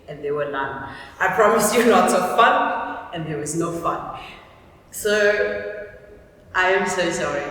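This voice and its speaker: A woman's voice: lowish voice, fairly bright tone. Echoey, playful woman hosting. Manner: playful, teasing, self-deprecating. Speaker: vlog host. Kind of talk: casual chat to camera and guest. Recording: distant echoey room, faint noise.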